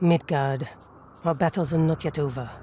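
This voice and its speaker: A woman playing a steady female warrior companion who speaks calmly in game cutscenes. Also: deep voice, normal speed, a little hiss or hum, close to an everyday voice. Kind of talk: video game cutscene acting.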